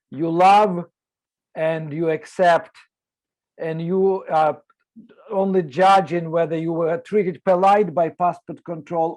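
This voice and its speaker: An older man, a novelist on a panel, speaking slowly and haltingly with many fillers over a video call. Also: high voice, dark tone. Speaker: author on a panel.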